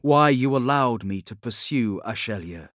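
A man's voice: medium pitch, lively intonation, normal speed, a bit bright, no echo, studio quality, close to an everyday voice. A man voicing an earnest woman counsellor in an audiobook, direct and insistent as she questions her lord. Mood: earnest realisation.

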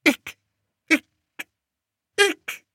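A man voicing a cowardly, pompous king who wails and stammers in a high, shrill voice. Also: drawn-out words, bright, forceful tone, no room sound, clean studio sound, exaggerated voice. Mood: shaken. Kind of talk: audiobook character voice.

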